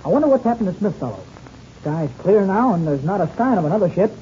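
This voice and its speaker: An actor playing an eager, boyish young American pilot in an old radio serial, earnest and enthusiastic, with an American accent. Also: male voice, high voice, very animated, fast talker, mellow. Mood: excited. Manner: earnest, gee-whiz enthusiasm. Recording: noisy, dull old radio recording.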